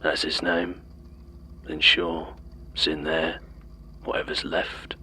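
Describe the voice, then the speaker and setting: A man playing a menacing, slow-witted inhuman deliveryman who speaks in blunt, halting fragments in an audio horror drama. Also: extremely low pitch, flat delivery, bright, forceful tone, a little hiss or hum, heavily acted. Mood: grim, taunting. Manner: halting, terse, clipped fragments.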